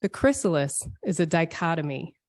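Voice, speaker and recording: A woman delivering a scripted, reflective church sermon in measured, poetic phrases with deliberate phrasing. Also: deep voice, very animated, darkish tone, no echo. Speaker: speaker at a church service.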